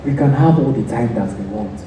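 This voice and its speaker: Stage drama: young male actor as commanding, lovestruck king, measured, far mic and noisy. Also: medium pitch, echoey room, close to an everyday voice. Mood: earnest. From Nigeria. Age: young adult. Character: earnest, commanding king in love. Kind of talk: theatrical stage acting. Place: theatre stage.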